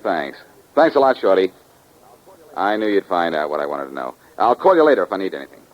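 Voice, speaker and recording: A man's voice: deep voice, big pitch swings, a little fast. A man playing a confident, wisecracking amateur sleuth in the cool, teasing style of 1940s radio detective drama. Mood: cool, wry. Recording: dull old 1940s radio recording.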